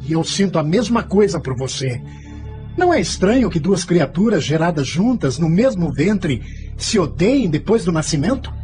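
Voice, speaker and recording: An actor playing an irritable, resentful young twin brother in a radio soap, indignant and taunting. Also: male voice, on the high side, sing-song, normal speed, a little mellow, soft background music. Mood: scornful. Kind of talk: radio soap opera acting. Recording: noisy radio drama recording with background ambience.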